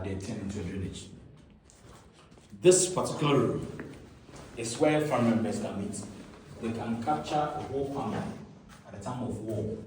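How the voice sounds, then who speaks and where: A man who works as a tour guide, explaining the history of the place in a measured way that turns quiet and somber. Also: on the low side. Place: stone castle rooms and dungeons, indoors. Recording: echoey stone rooms, far from the camera, with noise.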